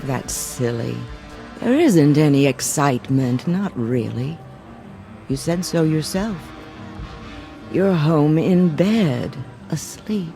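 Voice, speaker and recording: Sultry sinister dream woman, slow and coaxing, pitch swings a lot, radio drama. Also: lively intonation, dark tone, faint music behind, exaggerated voice. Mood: seductive, soothing. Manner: breezy, coaxing, insistent. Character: seductive, sinister dream temptress, insistent and coaxing. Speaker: actress in audio drama. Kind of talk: dramatic audio drama acting.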